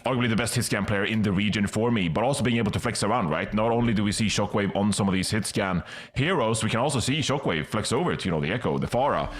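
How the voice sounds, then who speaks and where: A man's voice: on the low side, fast. A man talking as an esports analyst at a desk, upbeat and a bit self-deprecating. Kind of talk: fast analyst desk chat.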